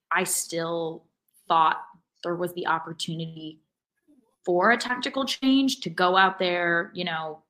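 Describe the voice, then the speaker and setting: A woman co-hosting a sports podcast, giving measured, analytical opinions in casual conversation. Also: lowish voice, sharp tone, low-quality recording. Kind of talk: casual soccer fan discussion.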